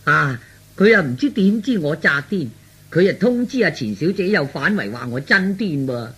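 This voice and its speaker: A man playing a flustered young reluctant fiancé, stammering and whining in a husky voice for a radio comedy. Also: fairly high pitch, sing-song, normal speed, fairly bright tone, heavily acted. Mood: dejected. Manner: stammering, repetitive, whiny. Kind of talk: Cantonese radio comedy acting.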